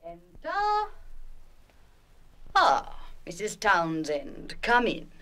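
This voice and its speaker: A woman playing a perceptive, frank and kindly headmistress in an audio radio play, speaking with measured, emphatic authority. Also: medium pitch, wildly swooping pitch, a bit bright, heavily acted. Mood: welcoming, grave. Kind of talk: full-cast audio radio play acting.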